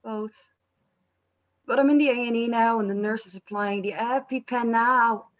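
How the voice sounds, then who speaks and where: A woman's voice: on the high side, moderate pace, muffled recording, close to an everyday voice. A woman playing a patient, placating band manager in a script read. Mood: matter-of-fact.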